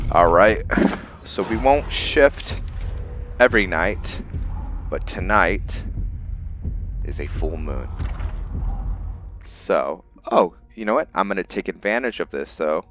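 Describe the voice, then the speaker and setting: Really deep voiced guy doing slow casual gaming commentary, kinda thinking out loud, noisy night crickets in the background. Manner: musing, thinking aloud. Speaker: gaming video host. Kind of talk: casual roleplay game commentary.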